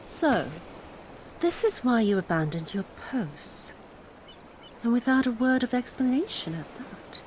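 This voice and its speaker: A woman's voice: on the high side, sing-song, unhurried, slight background noise. A woman playing a cruel, sadistic and haughty imperial overlord in a video game, speaking with theatrical contempt and drawling menace. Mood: cold menace. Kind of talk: dramatic video game voice acting. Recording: loud music behind the voice.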